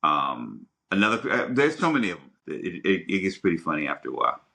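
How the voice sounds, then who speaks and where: A man's voice: sits low, big pitch swings, quick pace, vocal fry, bright, forceful tone. A middle-aged American man co-hosting a podcast in a casual, rambling way, thinking aloud as he goes. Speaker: podcast host.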